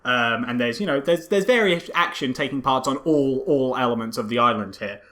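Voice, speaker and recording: A man co-hosting a casual film commentary podcast, speaking haltingly and repeating words as he searches for phrasing. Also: mid-range voice, sharp tone. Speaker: podcast co-host.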